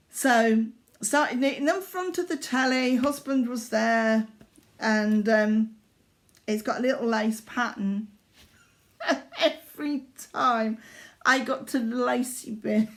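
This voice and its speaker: A woman's voice: on the high side, gravelly. An older woman from Yorkshire chatting casually at home about her needlework, rambling, with lots of fillers and self-corrections. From Yorkshire, England. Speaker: craft vlogger. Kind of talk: casual chat showing needlework.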